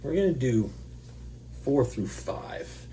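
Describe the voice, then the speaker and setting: A man hosting a scripture reading, stopping for casual, self-deprecating asides and clearing his throat through his allergies. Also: medium pitch, sing-song, slow, dark tone. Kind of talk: Bible reading with informal commentary. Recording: noisy recording with electrical hum.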